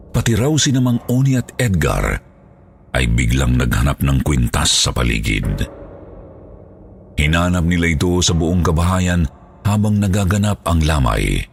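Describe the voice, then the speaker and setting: A man's voice: low voice, gravelly, a little hiss or hum. A man with a Filipino accent reading a scripted horror story as narrator. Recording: background music under the voice.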